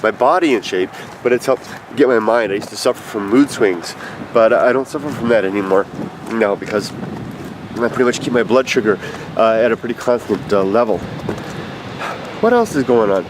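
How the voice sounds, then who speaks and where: A man in his fifties, stuffed up with allergies, leaving a rambling, halting video message full of fillers. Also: fairly low pitch, animated. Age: 50s. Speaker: vlogger. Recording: noisy outdoor street recording.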